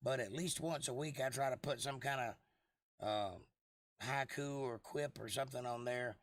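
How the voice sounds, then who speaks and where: A man with a hoarse, froggy voice hosting a casual livestream, rambling and joking at his own expense as he replies to viewers. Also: mid-range voice, normal speed, no room sound, clean studio sound. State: lost voice for months, throat clearing. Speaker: livestream host.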